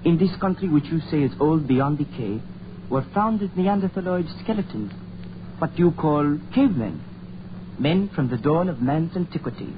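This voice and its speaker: A man's voice: on the high side, lively intonation, moderate pace, lightly acted. An actor playing an erudite, proud Frenchman in a 1940s radio horror play, speaking formal, eloquent English with a French accent. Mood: earnest, learned. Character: erudite, proud Frenchman driving foreign travellers, moodily eloquent. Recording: muffled, noisy 1940s radio recording.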